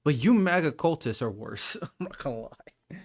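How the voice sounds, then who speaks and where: A man's voice: fairly high pitch, expressive, moderate pace, husky. Blunt, dismissive man ranting. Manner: blunt, dismissive, swears, says "bro" a lot. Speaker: reaction video host. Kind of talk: casual ranting commentary.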